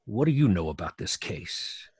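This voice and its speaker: An actor playing a bossy, irritable middle-aged police commissioner in an old-time radio crime drama, brusque and commanding. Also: male voice, low-pitched, sing-song, moderate pace, darkish tone, breathy edge, no echo, studio quality, full character voice. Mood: irritated.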